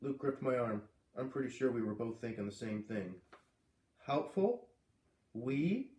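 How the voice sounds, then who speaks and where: A man reading a story aloud with expressive narration and casual asides. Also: medium pitch, brisk. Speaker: storybook reader. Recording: echoey room.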